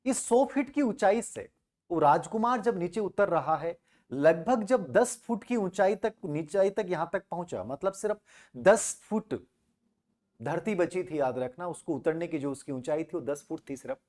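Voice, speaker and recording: Guy teacher telling a moral story, really animated up and down voice, repeats stuff for emphasis, asks questions. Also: high-pitched, measured pace. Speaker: teacher and motivational speaker.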